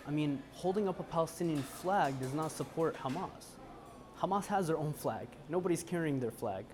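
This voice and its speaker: A young man, a college student, answering an interviewer in a halting way. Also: a little high. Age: young adult. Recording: music behind the voice. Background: music.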